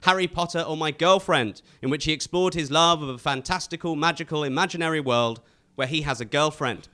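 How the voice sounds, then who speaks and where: A man's voice: fairly high pitch, fairly quick, bright, forceful tone. A man hosting a comedy panel show, reading scripted jokes to a live audience with punchy timing.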